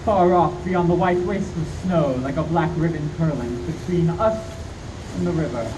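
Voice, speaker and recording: A man's voice: fairly high pitch, moderate pace, lightly acted. A man playing an authoritative older professor and vampire hunter, speaking formally and urgently in a radio play. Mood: tense narration. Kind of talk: dramatic narration in 1930s-style radio play. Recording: distant mic, loud background noise.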